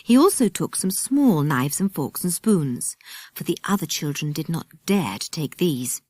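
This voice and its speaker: A British woman reads a children's story as an audiobook narrator. Her voice sits a little low and swings widely in pitch, with expressive delivery. The recording is dry and close. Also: unhurried, breathy edge, no room sound.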